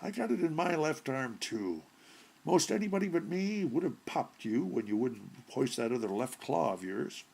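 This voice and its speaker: A man voicing a brash, folksy burglar in a short story reading, with gravelly, husky voice and blunt, colloquial delivery. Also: medium pitch, very animated, moderate pace, darkish tone, pitched above the performer's own voice, full character voice. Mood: chummy, boastful. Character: brash, folksy, slangy male burglar with rheumatism.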